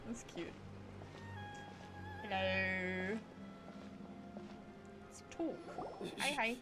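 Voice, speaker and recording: Slow-paced, playful woman streaming a game. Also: mid-range voice. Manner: playful, giggly. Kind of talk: casual game playthrough commentary. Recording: game music behind the voice. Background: game music.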